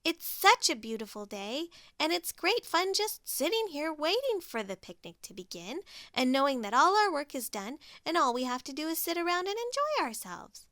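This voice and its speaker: A woman voicing a cheerful, boastful, carefree pig who talks in excited exclamations. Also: high-pitched, moderate pace, few pauses, no echo, clean studio sound, voice pushed up.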